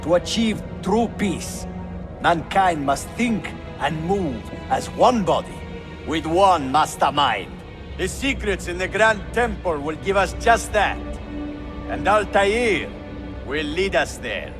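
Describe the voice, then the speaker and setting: A calculating royal villain with a slightly high voice, measured and lofty. He stays quietly menacing while game music plays clearly behind him. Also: animated. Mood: weary, lofty. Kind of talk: dramatic video game cutscene acting.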